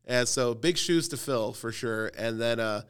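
A man's voice: mid-range voice, a little slow, fairly bright tone, clear, resonant tone. A man in his thirties from Cleveland, a youth pastor talking casually on a podcast with lots of fillers and self-deprecating humor. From Cleveland, Ohio.

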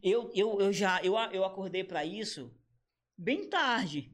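A man in his thirties, a comedian and motion designer, chatting casually in a slangy, animated way with quoted asides. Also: high-pitched, slow. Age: 30s. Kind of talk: casual podcast conversation.

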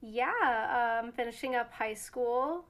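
A woman's voice: on the high side, takes it slowly. An eighteen-year-old woman introducing herself as a roundtable guest, hesitant with frequent fillers. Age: teens. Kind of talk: casual discussion.

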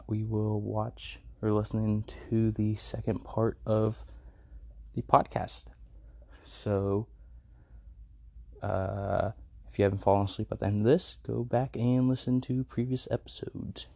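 Congested man rambling slowly. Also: lowish voice, gravelly, no echo. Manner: rambling, relaxed, lots of fillers. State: congested from a cold. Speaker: podcast host. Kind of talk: casual late-night podcast chat. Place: a house with dogs.

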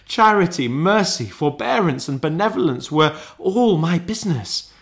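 Man voicing an anguished old ghost, swelling high. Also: animated. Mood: impassioned remorse. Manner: declamatory, lamenting, drawn-out emphasis. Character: anguished old male ghost, mournful, remorseful, solemn. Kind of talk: dramatised classic ghost story.

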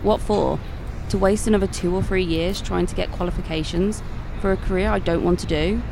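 A woman's voice: lowish voice, steady tone, brisk, creaky, background noise. A young woman with a British accent playing a discouraged, defensive nineteen-year-old shop girl in an audio drama. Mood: irritated.

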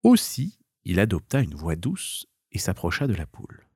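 A man's voice: low voice, huge pitch swings, normal speed, creaky, a little mellow, slightly rough, dry recording, clean studio sound. A man narrating a scripted children's tale as a storyteller.

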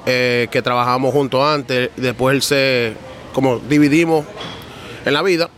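A man's voice: mid-range voice, steady tone, measured pace, fairly bright tone, slight background noise. A man who grew up in New York, chatting as a podcast guest and telling his story in loose, slangy talk. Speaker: guest, trader. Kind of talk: casual podcast chat.

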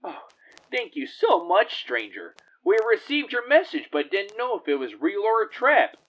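A man's voice: sits high, wildly swooping pitch, moderate pace, fairly bright tone, full character voice, poor audio. A man playing a humble, grateful refugee settler in a space audio drama, earnest and given to awed exclamations.

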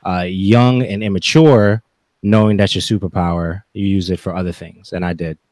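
Low-voiced man in his forties, podcast guest. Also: fairly even intonation, normal speed, darkish tone, no echo, clean studio sound. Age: 40s. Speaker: podcast guest, gaming content creator. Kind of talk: reflective interview talk.

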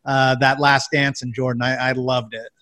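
A man's voice: mid-range voice, flat delivery, moderate pace, pushed and bright. A man in his forties hosting a casual, conversational interview. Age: 40s. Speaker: interview host.

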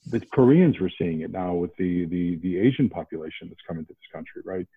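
A man from around Boston, a podcast guest, talking in a casual animated way with many restarts. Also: sits low, a little fast, breathy edge, no echo. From New England, outside Boston. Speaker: podcast guest, investment banker. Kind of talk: casual interview talk. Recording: tinny phone-like line.

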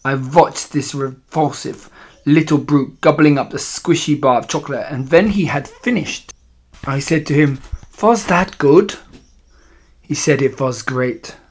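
Male narrator as evil storybook witch, imperious, malevolent, slow emphatic delivery, broken grammar. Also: a little high. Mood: sneering. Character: imperious, malevolent female Grand High Witch plotting against children.

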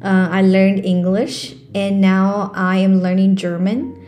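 A woman's voice: mid-range voice, level tone, takes it slowly, mellow, soft background music. A young woman from China with a Chinese accent hosts her own podcast in a casual, earnest way, full of fillers and restarts. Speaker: podcast host. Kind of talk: casual solo podcast talk.

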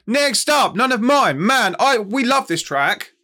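A man's voice: extremely high pitch, big pitch swings, measured pace, bright, forceful tone. A man hosting a music interview, chatting casually with enthusiastic, slangy delivery. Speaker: music show host and interviewer.

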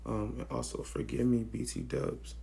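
A hoarse young man with a raspy voice, co-hosting a podcast in slangy casual chat. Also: medium pitch, moderate pace. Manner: relaxed, slangy, thinks aloud with fillers. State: hoarse, losing his voice. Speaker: podcast co-host.

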